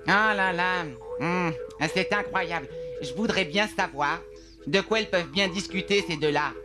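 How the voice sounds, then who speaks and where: A man's voice: high voice, moderate pace, close to an everyday voice. Grumbling cartoon sea lion played by a man. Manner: grumbling, indignant. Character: grumpy, proud fishing sea lion. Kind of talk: French cartoon dub. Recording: music under the dialogue.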